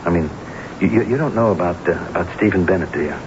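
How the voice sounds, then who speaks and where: An actor playing an anxious, guilt-ridden unfaithful husband in a radio mystery drama, stammering and repeating words earnestly. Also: male voice, low-pitched, fast, natural voice. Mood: anxious explaining. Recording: noisy, muffled old radio broadcast recording.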